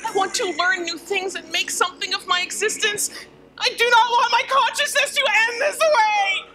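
A woman's voice: very high-pitched, moderate pace, over music, rough recording. A woman playing a self-aware female spaceship computer on stage, theatrical and desperate, in formal wording. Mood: desperate, panicked. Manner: formal, uncontracted, theatrical speech. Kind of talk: staged audio drama acting. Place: theatre stage before an audience. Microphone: stand microphone.

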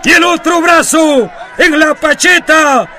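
An actor playing a stern colonial official, declaiming solemnly like a town proclamation in a radio drama. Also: male voice, very high voice, slow, raised voice, loud music behind the voice, over a noisy crowd. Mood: stern, proclaiming.

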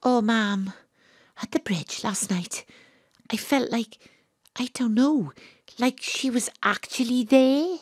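A woman's voice: a little high, takes it slowly, husky, no room sound, clean studio sound. An actress playing an earnest, emotional teenage girl in an audio drama. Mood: bewildered. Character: earnest teenage girl narrating her ghostly adventure, emotional. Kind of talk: audio drama monologue and dialogue.

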